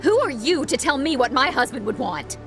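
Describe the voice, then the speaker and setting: An actress playing a stubborn, grieving young widow in a video game drama, defiant and emotional. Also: female voice, mid-range voice, lively intonation, brisk, over music, natural voice. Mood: defiant, upset.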